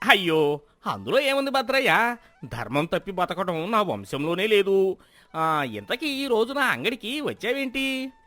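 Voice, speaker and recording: A man voicing a scheming, falsely pious village moneylender in a loud, theatrical way for a moral story. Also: sits high, big pitch swings, measured pace, pauses often, no room sound, soft background music, full character voice. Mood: feigned humility. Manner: loud, theatrical scolding and protesting. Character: sanctimonious, scheming male village moneylender feigning piety.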